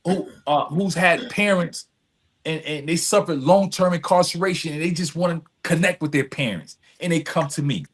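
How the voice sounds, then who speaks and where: Male interview guest, voice a little high, speaks in earnest, emphatic, repetitive phrases. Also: moderate pace, a bit bright. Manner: earnest, emphatic, repeats phrases, often checks with "right?". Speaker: podcast guest, community service advocate. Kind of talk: reflective personal storytelling in conversation.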